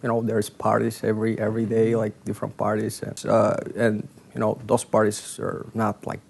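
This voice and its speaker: A man in his thirties from Bulgaria with a Bulgarian accent, a guest in a casual interview chat, halting and searching for words with many fillers. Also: lowish voice, monotone, creaky, darkish tone, husky. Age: 30s. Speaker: talk show guest.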